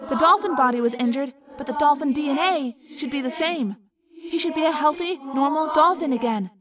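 A woman voicing an anxious, quick-thinking teenage girl in an audiobook crisis, urgent and emphatic. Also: high voice, distant mic, a crowd in the background, natural voice. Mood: urgent, reasoning.

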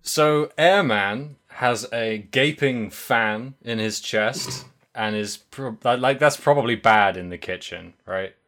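A man's voice: mid-range voice, slow pace, fairly bright tone. A man co-hosting a podcast, chatting casually in a rambling, hesitant way with lots of fillers and restarts. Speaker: podcast co-host. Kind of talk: casual chat.

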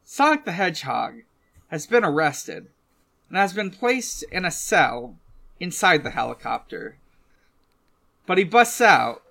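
A man co-hosting a casual recap discussion, speaking in a halting, hesitant way. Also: a little high, big pitch swings, vocal fry, bright, forceful tone. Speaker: podcast co-host.

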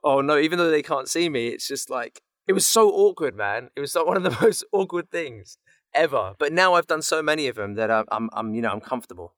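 A man's voice: fairly high pitch, lively intonation, fairly quick, a bit bright, dry recording, studio quality. A man chatting casually as a guest voice actor, self-deprecating, repeating words and checking that he is understood. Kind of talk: casual chat.

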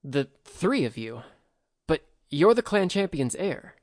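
A man's voice: fairly high pitch, very animated, slow pace, no room sound, studio quality, voice pushed up. A man reading a story, voicing a wary peasant woman who speaks bluntly and grudgingly. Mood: startled.

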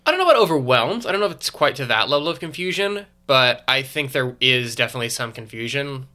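A man with a congested, stuffy voice, chatting casually as a podcast guest in a thoughtful, measured way. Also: mid-range voice, expressive, pushed and bright. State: congested from allergies.

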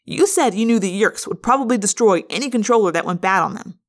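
A woman's voice: mid-range voice, big pitch swings, moderate pace, fairly bright tone. Woman voicing a sneering, sardonic teenage boy. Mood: pressing, bitter. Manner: sneering, pressing, sarcastic. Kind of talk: audiobook character voice.

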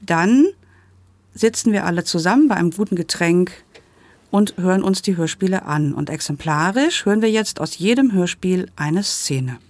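A woman's voice: on the low side, animated, moderate pace, darkish tone, no room sound. Dry, close-miked woman podcast presenter. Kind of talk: scripted podcast report narration.

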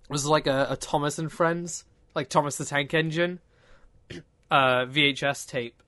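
A man's voice: on the high side, steady tone, measured pace, bright, forceful tone. A man hosting a casual podcast, rambling and joking and often restarting his sentences. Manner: rambling, self-interrupting, joking.

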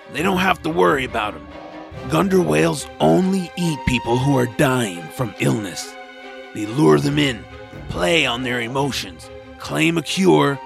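A man playing a grumpy, nap-loving guide in an audio drama, gravelly, wry and folksy as he grumbles along. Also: mid-range voice, fairly bright tone.

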